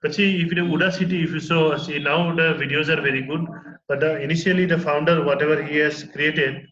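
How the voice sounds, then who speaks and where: A man's voice: a little high, fairly even intonation, a little fast, fairly bright tone. Man, roomy lo-fi sound. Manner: hesitant, many fillers and restarts. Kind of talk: casual technical conversation. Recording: roomy, dull lo-fi recording.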